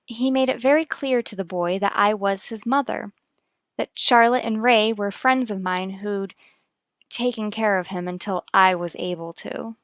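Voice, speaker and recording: An actress performs a troubled, earnest mother from a vintage radio serial. Her woman's voice sits at a middle pitch in a very dry space. She confides carefully and hesitantly, choosing her words. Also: no echo, natural voice. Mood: guarded, earnest. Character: worried, earnest young mother confiding about her son. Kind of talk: vintage radio soap opera acting.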